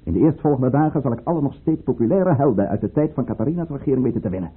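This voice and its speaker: An actor playing a ruthless, fervent count who leads a conspiracy, with declamatory, commanding delivery in a Dutch radio play. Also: male voice, mid-range voice, animated, racing, breathy edge. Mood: resolute. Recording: muffled, narrow-band old radio recording.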